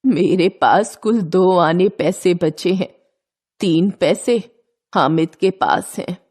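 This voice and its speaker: Poor old grandmother for an audio storybook, played by a woman: plaintive, careworn, devoted, very unhurried delivery. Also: lowish voice, a little mellow. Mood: worried, lamenting. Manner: plaintive, lamenting. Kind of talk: dramatised children's story acting.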